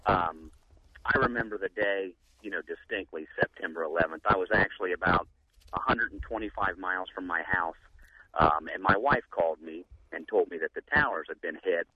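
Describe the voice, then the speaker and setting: A man calling in to a radio show, speaking haltingly with many fillers. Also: low-pitched, slightly rough, no room sound, dull audio. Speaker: caller. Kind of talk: call-in radio talk.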